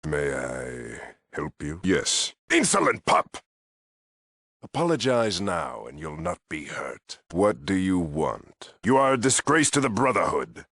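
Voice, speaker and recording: An actor playing a stern, proud paladin commander in a video game, talking in a clipped, formal, haughty way. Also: male voice, extremely low pitch, big pitch swings, takes it slowly, few pauses, dry recording, studio quality, exaggerated voice. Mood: haughty, angry. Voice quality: gravelly. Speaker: head of a military order. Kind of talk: dramatic video game dialogue.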